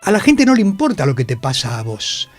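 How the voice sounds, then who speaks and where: Emphatic Argentine man, fairly high voice. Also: wildly swooping pitch, a little fast, dry recording, soft background music. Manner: emphatic, opinionated, repeats himself. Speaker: guest, theatre actor and director. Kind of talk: animated radio interview conversation. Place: radio studio.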